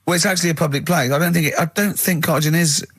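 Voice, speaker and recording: A man's voice: fairly high pitch, fairly even intonation, rapid-fire, a bit bright, husky, no room sound, clean studio sound. A man hosting a radio show, teasing his co-hosts with jokey asides in casual chat. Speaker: radio host. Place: radio studio.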